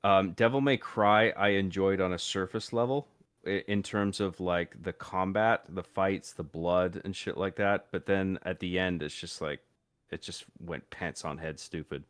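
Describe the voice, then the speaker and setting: A man's voice: deep voice, fairly even intonation, unhurried, clean tone, dry recording, studio quality. A man in casual podcast chat about games and anime, blunt and dismissive of poor adaptations. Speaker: podcast co-host.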